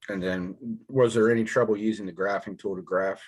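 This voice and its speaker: A man teaching a math class, hoarse and raspy from a sore throat, walking through problems step by step with many ums and pauses. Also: lowish voice, fairly even intonation, a little mellow, poor audio. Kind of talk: classroom lecture walkthrough.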